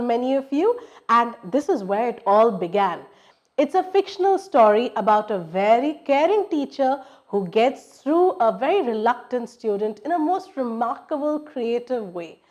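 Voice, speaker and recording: A woman's voice: high voice, a little mellow. A woman hosting a children's storytime, reading aloud expressively and explaining things for young listeners. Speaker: storytime host and narrator.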